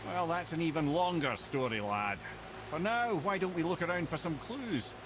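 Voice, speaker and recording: Wry, lilting older Scottish actor as a lore-teller. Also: male voice, on the high side, animated, normal speed, background noise, natural voice. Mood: knowing. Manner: lilting, theatrical, savouring old lore. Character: wise, wry older male storyteller companion. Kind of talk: dramatic storytelling, video game dialogue.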